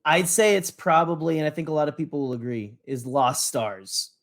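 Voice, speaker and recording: A man's voice: a little high, animated, normal speed. A man co-hosting a podcast, chatting casually and enthusiastically in a rambling, slangy way, often interrupting himself. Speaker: podcast co-host.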